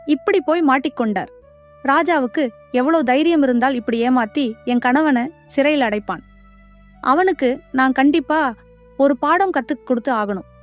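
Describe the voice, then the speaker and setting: A woman's voice: high-pitched, pitched above the performer's own voice, lightly acted. A woman voicing a brave, clever young wife in a children's cartoon, speaking in an earnest, determined way. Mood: angry. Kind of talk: cartoon voice acting.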